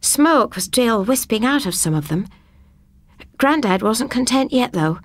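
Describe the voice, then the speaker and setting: Woman, audiobook narrator, husky voice, first-person ghost story, dry sound. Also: a little high, expressive, measured pace, no echo.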